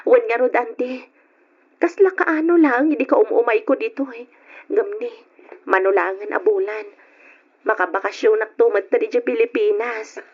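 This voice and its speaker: Actress playing a high-voiced affectionate young woman, slightly husky. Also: moderate pace, lightly acted. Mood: cheerful. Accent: Ilocano. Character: affectionate young woman back from working abroad, longing to marry. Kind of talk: old-time Ilocano radio drama acting. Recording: dull lo-fi old radio drama recording.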